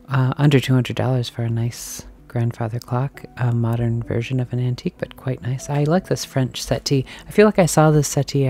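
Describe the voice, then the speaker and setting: A woman filming herself as she browses a large antique and thrift shop, chatting casually and musing aloud, sometimes dropping to a soft whisper. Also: extremely low pitch, gravelly. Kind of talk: casual walk-and-talk commentary. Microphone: handheld camera mic, filming as she walks.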